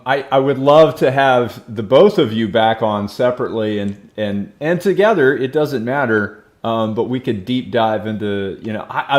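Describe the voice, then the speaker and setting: A man hosting a podcast interview, relaxed and joking with his guests. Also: mid-range voice. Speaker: host.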